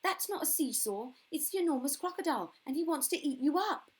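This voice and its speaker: A woman voicing a protective, bossy jungle monkey in a children's story, shouting urgently. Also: high-pitched, animated, brisk, pitched above the performer's own voice. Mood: urgent warning.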